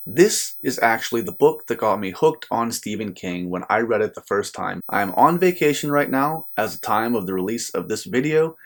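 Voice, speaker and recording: An American man presenting a scripted book review to viewers as the channel's host, speaking in an earnest, measured way with emphatic phrasing. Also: lowish voice. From United States.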